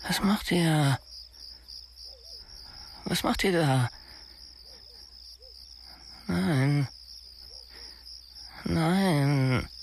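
An actor playing an obsessed, jealous, lovesick stalker muttering haltingly to himself in a radio play. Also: male voice, on the high side, gravelly, background noise, heavily acted. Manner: slow, halting, repetitive muttering. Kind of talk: radio play inner monologue.

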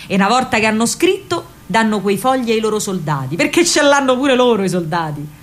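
A woman's voice: mid-range voice, lightly acted. Woman playing an earnest old Roman partisan man. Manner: grave, exhortatory, deliberate. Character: earnest old Roman man, conspiratorial anti-fascist mentor. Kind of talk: theatrical monologue, radio drama.

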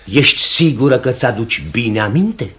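A man's voice: mid-range voice, lively intonation, a bit bright, natural voice. A man playing an earnest, troubled pastor in a Romanian radio play, solemn in manner. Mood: grave, questioning. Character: earnest, troubled pastor questioning and pleading for order. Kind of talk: Romanian radio theatre acting.

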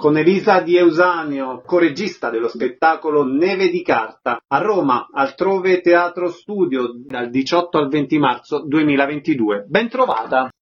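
Male radio host, lightly high voice, concise formal announcer delivery, a touch lo-fi. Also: normal speed, few pauses, soft background music. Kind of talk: scripted radio presenting and interviewing.

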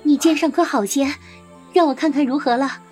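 Casting: actress for anxious young official's wife in ancient China, high-pitched, emotive and courtly, music underneath. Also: female voice, fairly quick, darkish tone, natural voice. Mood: relieved concern. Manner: formal, archaic, emotive speech. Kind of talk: period audio drama acting.

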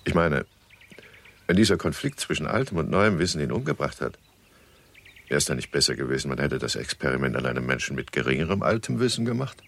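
A man's voice: a bass voice, very animated, fast, vocal fry, a bit bright, heavily acted. An actor playing a thoughtful, doubting man in a 1960s radio play, putting long, probing questions. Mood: doubtful, reflective.

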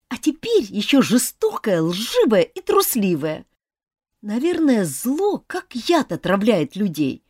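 A woman's voice: fairly high pitch, darkish tone. A woman voicing a miserable, jealous schoolgirl who hates herself, her voice trembling near tears. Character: miserable self-hating schoolgirl, jealous and tearful.

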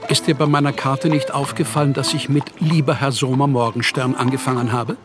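An actor playing a proud, bitter, needy young writer in a German radio play, speaking in measured, formal literary phrases. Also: male voice, medium pitch, little pitch movement, music in the background, lightly acted. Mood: reproachful.

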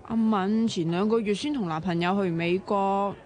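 An actress playing a sharp-tongued, bossy, excitable young woman in a radio drama, chatty and expressive, with a Hong Kong Cantonese accent. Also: mid-range voice, moderate pace, close to an everyday voice. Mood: persuasive, cheeky.

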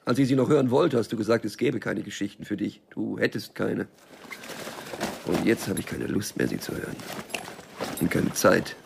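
Stubborn loner dealer, low gravelly voice, cynical, curt, radio crime play. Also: male voice, moderate pace, noisy background. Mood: cold, dismissive. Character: Blum, stubborn, wary small-time drug dealer and loner. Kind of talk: radio crime drama acting.

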